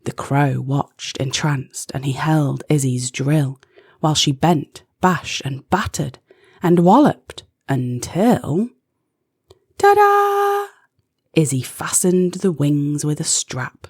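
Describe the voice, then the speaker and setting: A woman reads a children's story in verse, slowly and with dramatic phrasing. Her voice is on the low side and breathy, and it moves expressively up and down. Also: darkish tone, dry recording, studio quality. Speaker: children's audiobook narrator. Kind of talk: expressive rhyming storybook narration.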